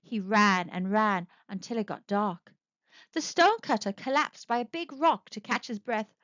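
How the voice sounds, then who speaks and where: A woman narrating a children's storybook aloud with expressive, animated storytelling. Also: medium pitch, unhurried, no room sound. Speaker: storybook reader. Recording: dry, dull lo-fi sound.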